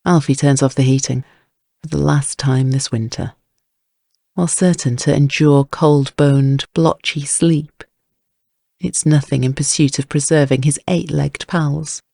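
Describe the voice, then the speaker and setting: A man's voice: a little high, steady tone, measured pace, gravelly, dark tone, no room sound, studio quality. A man narrating scripted crime fiction as an audiobook, with wry, literary storytelling.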